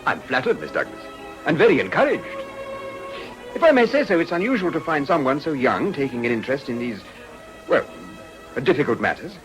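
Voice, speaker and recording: An actor playing an earnest, gallant politician with an educated English accent, speaking formally and courteously in a period radio drama. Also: male voice, a little high, big pitch swings, fairly quick, music in the background, rough recording.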